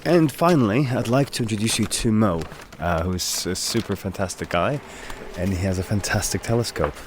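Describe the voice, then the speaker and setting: Earnest deep-voiced presenter man. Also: lively intonation, moderate pace, noisy background. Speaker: presenter and street event organizer. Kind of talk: documentary narration and interviewing.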